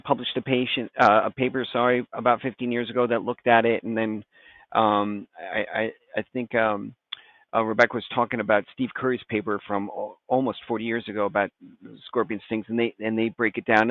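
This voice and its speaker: A man's voice: fairly low pitch, dry recording. A middle-aged man, a doctor appearing as a guest expert, explaining things informally over a tinny connection. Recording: tinny phone-like band-limited line.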